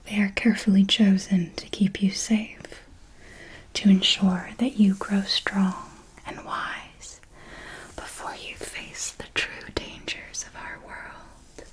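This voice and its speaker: A woman playing a possessive, commanding vampire mentor in a whispered ASMR roleplay, speaking in a breathy, deliberate, coaxing way. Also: medium pitch, level tone, natural voice. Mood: gently commanding. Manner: slow, deliberate, coaxing and instructive.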